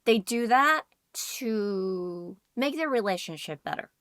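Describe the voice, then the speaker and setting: A woman with a bright, clear tone talking on a podcast, hedging and circling her points. The recording is close and dry. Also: mid-range voice, lively intonation, slow pace, dry recording. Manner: hedging, lots of "like" and "I mean". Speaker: podcast co-host. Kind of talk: casual chat.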